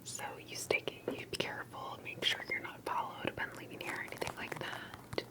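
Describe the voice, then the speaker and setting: A woman speaking in a breathy, unvoiced whisper, gently and hesitantly putting questions in an ASMR roleplay interview, with soft unhurried pauses. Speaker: ASMR performer playing an interviewer.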